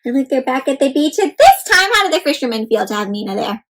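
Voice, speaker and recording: A woman hosting an interactive picture-book read-aloud for young children, expressive and speaking directly to her listeners with questions. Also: high voice, quick pace, heavy background noise.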